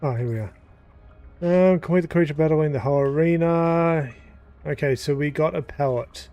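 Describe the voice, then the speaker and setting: A man casually commenting as he plays through a game, thinking aloud with pauses and fillers. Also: fairly high pitch, dark tone, soft background music. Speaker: gaming YouTuber. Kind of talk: casual let's-play commentary.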